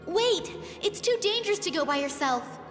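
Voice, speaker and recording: A high-voiced, regal young queen. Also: big pitch swings. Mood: wary, urgent. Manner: measured, regal, earnest delivery. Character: poised, brave young fantasy queen. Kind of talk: fantasy video game voice acting. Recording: echoey hall reverb, music behind.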